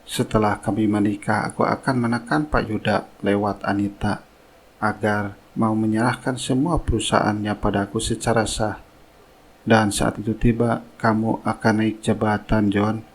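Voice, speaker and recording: A man playing a greedy, scheming suitor in a cartoon melodrama, with a husky voice. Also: fairly low pitch, flat delivery, normal speed, a little hiss or hum, lightly acted. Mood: smug, scheming. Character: scheming, greedy suitor, smooth-talking and arrogant.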